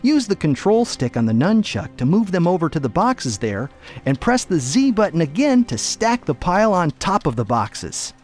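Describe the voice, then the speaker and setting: A put-upon father, speaks with hugely varied pitch. He is mild, weary and reluctant, a droll and distracted video game dad, with quiet music in the background. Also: big pitch swings, normal speed. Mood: resigned. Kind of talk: video game cutscene voice acting with tutorial instructions.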